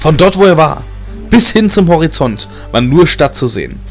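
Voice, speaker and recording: A man's voice: a little high, big pitch swings, normal speed. A man reading scripted fantasy narration as an audiobook narrator. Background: background film music.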